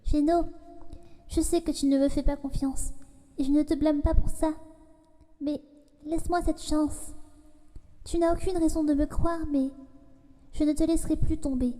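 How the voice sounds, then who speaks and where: Casting: woman as remorseful young child, gentle and hesitant, high even voice, comic dub with hall reverb. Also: moderate pace, pauses often, close to an everyday voice. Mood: remorseful, pleading. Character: soft, remorseful, timid human child. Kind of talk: fan comic dub acting.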